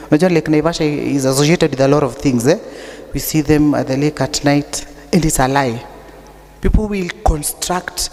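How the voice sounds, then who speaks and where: A Kenyan woman with an unusually deep voice, preaching a sermon that mixes English and Swahili, telling stories with humor. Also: a little mellow. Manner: chatty storytelling with humor. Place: church. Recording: long church reverb.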